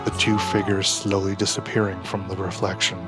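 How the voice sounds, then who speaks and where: A man narrating a scripted audio drama in a solemn, deliberate storytelling manner. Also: deep voice, level tone. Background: music.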